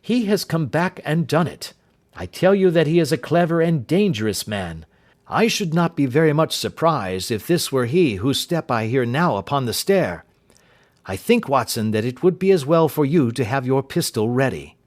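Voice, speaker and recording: A man voicing a sharp-minded male detective who speaks crisply and decisively. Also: mid-range voice, animated, moderate pace, pitched above the performer's own voice, close to an everyday voice. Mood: urgent.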